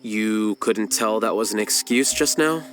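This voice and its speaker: A man's voice: on the low side, unhurried, lightly acted. An actor playing an aloof, blunt young male scholar in a game, dry, matter-of-fact and dismissive, with game music behind. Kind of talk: game voice acting.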